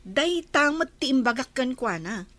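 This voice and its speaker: A woman playing a chatty village gossip in an Ilocano drama, haltingly passing on news. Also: high-pitched, very animated, bright, forceful tone, exaggerated voice. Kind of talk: Ilocano radio-style drama acting.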